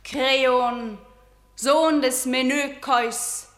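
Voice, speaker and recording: An actress playing a defiant, grieving young princess in a radio play, speaking with passionate declamation. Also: female voice, high-pitched, steady tone, drawn-out words, fairly bright tone, reverberant, heavily acted. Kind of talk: classic stage radio-play acting.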